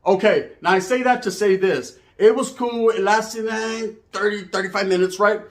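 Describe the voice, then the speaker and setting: A man's voice: sits high, moderate pace. An American man casually telling a bawdy comedic story in slangy language, acting out the dialogue and often checking in with the listener. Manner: slangy, animated, acts out dialogue, checks in with "right?". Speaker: storyteller, channel host.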